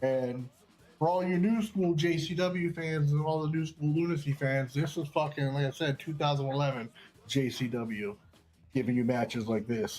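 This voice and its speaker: A man's voice: mid-range voice, darkish tone. A man hosting casual wrestling commentary in an enthusiastic, slangy and profane way. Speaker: segment host and match commentator.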